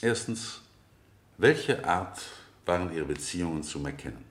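Deep-voiced, probing defense lawyer. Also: male voice, expressive. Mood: probing, measured. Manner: pressing, deliberate, rhetorical. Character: insistent, probing male defense lawyer questioning witnesses. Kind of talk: 1970 German radio play acting.

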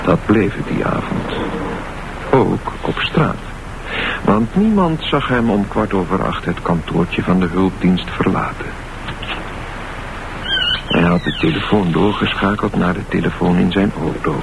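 A man narrating a scripted radio thriller in measured, deliberate short phrases. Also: deep voice, animated, creaky, background noise. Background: loud music.

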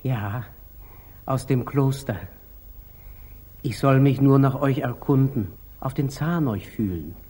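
Slow, husky, humble lay brother. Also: male voice, mid-range voice, mellow. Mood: meek. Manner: hesitant, meek, deliberate. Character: humble, artless, obedient monastery lay brother. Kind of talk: 1940s German radio stage acting.

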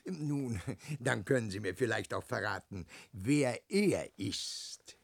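An actor playing a cryptic, sly stranger who speaks in riddles in a radio play, in a theatrical, mysterious manner. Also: male voice, lowish voice, lively intonation, slow pace, creaky, husky, no room sound, studio quality, heavily acted.

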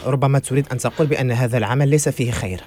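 Fast-talking Moroccan male radio host. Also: mid-range voice, a little mellow, no echo. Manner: brisk, clarifying, rephrases questions. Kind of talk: hosting a call-in religious program.

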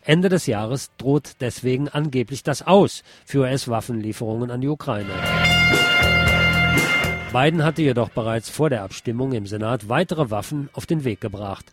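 A man hosting a radio show, reading newspaper articles aloud in a measured way and adding sarcastic remarks of his own. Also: mid-range voice, over loud music. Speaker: radio host.

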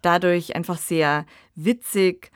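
A woman's voice: lowish voice, steady tone, takes it slowly, clean tone, no echo, clean studio sound. A woman hosting a podcast, discussing radio plays in a conversational way. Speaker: podcast host.

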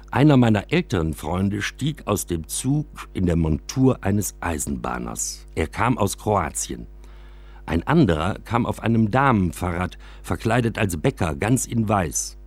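Husky, highly expressive male storyteller. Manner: expressive, acts out quoted dialogue. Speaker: narrator and reader of a memoir. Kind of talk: scripted literary narration, first-person storytelling.

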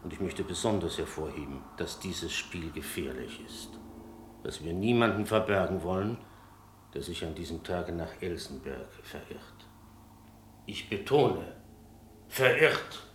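An actor playing a stern, formal café innkeeper with an emphatic, curt way of talking, in a German radio play. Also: male voice, sits low, lively intonation, unhurried, a bit bright. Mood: stern, warning. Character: surly, stern café innkeeper, fussily polite to regulars. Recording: roomy echo, background noise.

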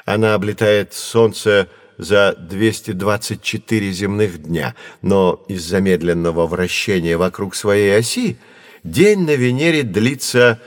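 Patient professor played by a male actor, low register, measured teaching delivery, dry close sound, educational audio drama. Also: dry recording, natural voice. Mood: instructive. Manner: explanatory, measured, didactic. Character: male astronomy professor, patient, knowledgeable teacher of curious children.

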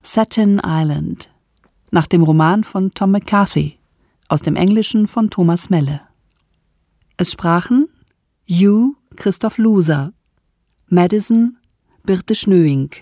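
A woman reading scripted closing credits as a radio announcer, in a matter-of-fact, measured manner. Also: mid-range voice, warm tone, no echo, studio quality.

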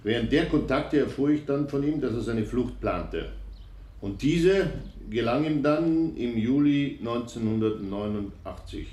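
A man's voice: medium pitch, lively intonation, measured pace, dark tone. A man giving formal testimony in a composed, reporting way. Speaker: witness testifying. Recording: echoey room with faint noise.

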